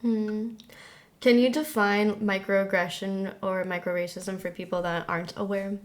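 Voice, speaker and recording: A woman's voice: medium pitch, level tone, normal speed. A woman hosting a podcast, talking in a friendly, chatty way and saying "like" often. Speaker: podcast host. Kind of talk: casual podcast chat.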